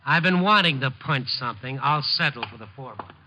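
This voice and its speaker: An actor playing a wry, world-weary newspaper reporter in a 1950s radio drama, narrating and talking in a dry, wisecracking way. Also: male voice, medium pitch, animated, brisk, background noise, natural voice. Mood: dry, flirtatious. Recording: muffled old 1950s radio broadcast.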